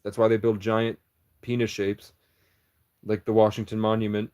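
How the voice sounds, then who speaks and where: Man, lowish voice, livestreamer riffing at chat, conspiratorial and meandering, cuts himself off a lot. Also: monotone, moderate pace, a little mellow, clear, resonant tone.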